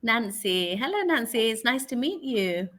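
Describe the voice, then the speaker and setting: Encouraging woman tutor, high voice. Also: big pitch swings, normal speed, a bit bright. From England. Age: 40s. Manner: patient, encouraging, repeats the learner's answers back. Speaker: online English tutor. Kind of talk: casual conversation lesson.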